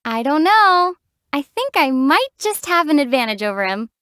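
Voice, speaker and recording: A young wandering heroine for a video game. Her voice sits high and calm, and she explains things with dry, teasing wit. It is recorded close and dead dry in a studio. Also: moderate pace, no room sound. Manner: dry teasing explanations and sharp battle shouts. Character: young female traveler hero, calm and wry.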